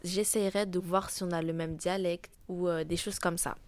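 A teenage girl with a French accent answers questions in a low voice, pausing often with small hesitations. The recording is dry and close. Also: steady tone, darkish tone, dry recording. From France. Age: teens. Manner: hesitant, many pauses with "euh". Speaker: interviewee. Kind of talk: casual interview answers.

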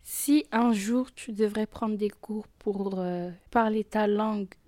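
A woman's voice: mid-range voice, steady tone, slow pace, mellow, slight background noise. A teenage girl introducing a friend and asking prepared interview questions carefully.

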